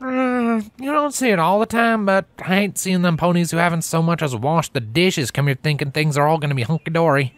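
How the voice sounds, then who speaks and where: A man's voice: a little high, a little fast, hardly any pauses, no echo, pitched above the performer's own voice, close to an everyday voice. A man voicing a cheerful, folksy country pony mare with a Southern country drawl. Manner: folksy and drawling, chuckling at her own jokes.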